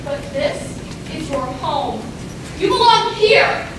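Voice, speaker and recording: Very high, echoey, anxious mother acted by a woman. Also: sing-song, slow, distant mic, heavily acted. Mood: distraught. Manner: scolding, emphatic. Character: stern, anxious, protective mother of a grown daughter. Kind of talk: stage play acting. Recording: echoey stage recorded from afar, noisy.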